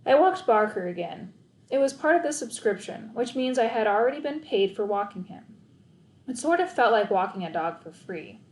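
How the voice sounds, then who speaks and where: A woman's voice: a little high, a little mellow. Woman reading a story aloud, roomy echo. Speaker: read-aloud narrator. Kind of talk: expressive children's audiobook narration, first person.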